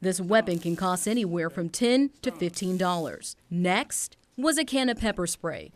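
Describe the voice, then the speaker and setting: A woman's voice: lowish voice, animated, moderate pace. A woman working as a TV news reporter, reading a scripted report in narration style.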